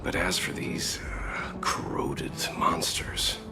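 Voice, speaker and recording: A man voice actor playing a calm, mature gentleman leading travelers in a video game, measured and earnest as he explains. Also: deep voice, vocal fry, loud music behind the voice, heavily acted. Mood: observant, wary.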